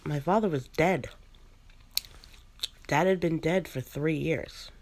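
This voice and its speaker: A woman chewing gum as she narrates a first-person ghost story in an ASMR style, pausing between short phrases. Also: deep voice, a little mellow, slight background noise. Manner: chews gum while narrating.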